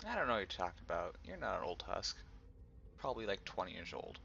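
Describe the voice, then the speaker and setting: A man's voice: low voice, big pitch swings, fast talker, bright, forceful tone, muffled recording. A man hosting a gameplay video with casual, joking commentary. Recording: clear ambient noise behind the voice.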